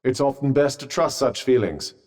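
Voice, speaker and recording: A man's voice: medium pitch, animated, normal speed, a little mellow, big-room sound, close to an everyday voice. An actor playing a dry-witted, sardonic male magic bracelet in a video game, speaking with posh understatement. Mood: wry. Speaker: companion character. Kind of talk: game voice lines.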